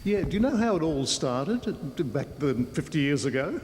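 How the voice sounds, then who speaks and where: A man's voice: fairly high pitch, very animated, normal speed, darkish tone. A man with an Australian accent working as a news reporter, doing an on-location interview. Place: indoor art gallery. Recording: reverberant gallery hall.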